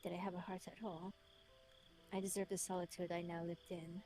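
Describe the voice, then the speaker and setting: A woman voicing a lonely, remorseful man with a British accent, reading his confession in a solemn, literary, measured way. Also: on the low side, flat delivery, warm tone, faint music behind, pitched below the performer's own voice, lightly acted. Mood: melancholy, brooding.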